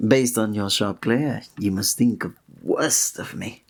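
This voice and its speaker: A man's voice: fairly low pitch, a little slow, gravelly. A man playing a flirtatious, courteous innkeeper in a fantasy village, speaking formally in a scripted ASMR roleplay addressed to the listener. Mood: teasing, earnest. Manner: formal, old-fashioned, teasing, suave diction.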